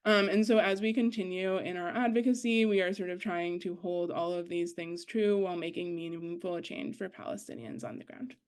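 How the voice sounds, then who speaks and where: A young woman speaking as a student activist at an online gathering, articulate and careful with her wording in a measured, informal presentation. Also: lowish voice, steady tone, darkish tone, clear, resonant tone. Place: online video call.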